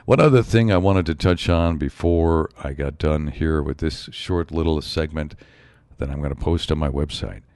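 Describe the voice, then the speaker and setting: A man hosting his own show in a rambling solo monologue, his voice a bit raspy from a slight cold. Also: low voice, a little mellow, no echo, studio quality. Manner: rambling, musing, frequent asides. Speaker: show host.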